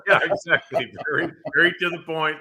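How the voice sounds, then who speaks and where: A man's voice: on the high side, flat delivery, fairly quick, bright, forceful tone. A man appearing as a podcast guest, reacting casually in short conversational remarks.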